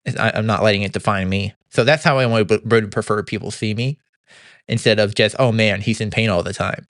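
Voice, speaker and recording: A young man hosting a podcast, giving a casual monthly recap in an upbeat, halting way with many restarts and a throat that sometimes sounds slightly gravelly. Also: on the low side, fairly even intonation, fairly bright tone, no echo, clean studio sound. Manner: upbeat, laughs easily. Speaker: podcast host.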